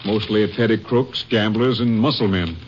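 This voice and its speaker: An actor playing an authoritative, folksy Texas Ranger captain, brisk and businesslike, in a 1950s radio drama. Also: male voice, lowish voice, lightly acted. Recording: muffled, noisy vintage radio recording.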